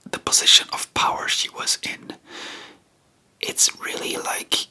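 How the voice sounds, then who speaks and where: A person whispering in an informal, rambling ASMR style, breathy and unvoiced, chatting about history close to the microphone. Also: unhurried, sharp tone. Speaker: host of a history ASMR channel.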